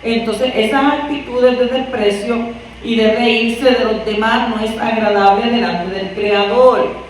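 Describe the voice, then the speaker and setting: A woman from Puerto Rico with a Puerto Rican accent, preaching a conversational sermon and asking her listeners rhetorical questions. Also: fairly high pitch. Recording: echoey room from far off, faint noise.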